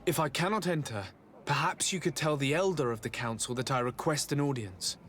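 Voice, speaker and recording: Game role: courteous noble legate male actor, formal, measured, middle-pitched, with ambience behind. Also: a bit bright, a little hiss or hum, lightly acted. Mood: polite. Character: courteous young nobleman legate, composed and diplomatic leader. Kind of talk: video game cutscene acting.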